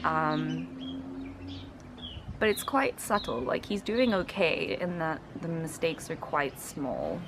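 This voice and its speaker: A woman's voice: sits low, very animated, takes it slowly, background noise. A woman in her twenties with a South African accent, explaining informally to camera and sounding out vowels as examples. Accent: South African English. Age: 20s. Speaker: linguistics student presenting a reaction video. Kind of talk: casual explanatory talk to camera.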